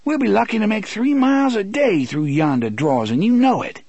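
A man voicing a truculent, sassy woman in an audiobook, sharp-tongued and colloquial. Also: high voice, lively intonation, normal speed, husky, no echo, dull audio, voice pushed up, full character voice.

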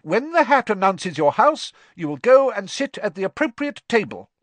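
Guy as a strict lady teacher in an audiobook, high loud formal voice calling out the roll. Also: very animated, moderate pace, no room sound. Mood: formal, commanding. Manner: formal, projected, clipped announcing. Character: stern schoolmistress calling the roll of new pupils. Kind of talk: audiobook character voice.

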